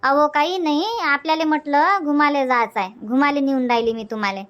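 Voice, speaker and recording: Casting: woman to play cartoon village auntie, high-pitched, bossy and talkative, Varhadi Marathi accent. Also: fairly quick, lo-fi sound, lightly acted. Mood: explaining. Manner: chatty, insistent, repeats herself. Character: bossy, sociable village auntie organizing her friends. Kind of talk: cartoon comedy dubbing.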